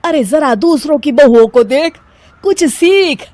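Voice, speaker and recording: A woman playing a middle-aged, talkative Punjabi mother-in-law who scolds and complains theatrically in an animated story. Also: sits high, unhurried, no echo. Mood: indignant complaining. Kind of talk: animated Hindi story dialogue.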